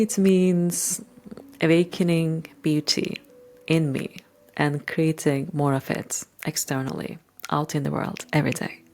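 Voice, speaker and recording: A middle-aged woman from Turkey with a Turkish accent, talking reflectively to her followers in measured, deliberate short phrases. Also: sits low, darkish tone. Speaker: channel host and coach.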